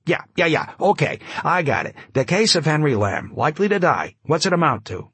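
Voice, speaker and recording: A man puts on a breathless old-school press secretary who rattles off clipped, snap-brim patter in a raised, lively voice. His tone is gravelly and a little husky, dry and close to the mic. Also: a little high, a little fast, dry recording, voice pushed up, exaggerated voice. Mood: brisk. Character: fast-talking male press secretary, old-school newspaperman.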